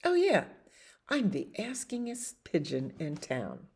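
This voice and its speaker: A woman's voice: on the low side, huge pitch swings, takes it slowly, a little mellow, slightly rough, heavily acted. A woman voicing an indignant, whining pigeon in a children's storybook, complaining theatrically. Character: indignant, whining, dramatic pigeon who loves cookies. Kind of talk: children's storybook read-aloud.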